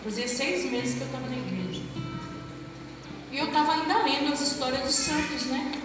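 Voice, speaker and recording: A high-voiced middle-aged woman with a Brazilian Portuguese accent tells her testimony like a lively storyteller, acting out dialogue and putting questions to the crowd. She sounds far from the mic in a big echoing hall with a long ringing tail. Loud music plays behind her. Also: moderate pace. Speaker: former pastor giving a testimony. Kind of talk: church testimony to an audience. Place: large hall.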